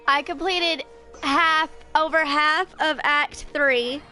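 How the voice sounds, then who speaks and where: A woman's voice: high voice, steady tone, slow, sharp tone, faint music behind. A woman in her twenties chatting casually on a livestream while she plays a game. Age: 20s. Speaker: game streamer.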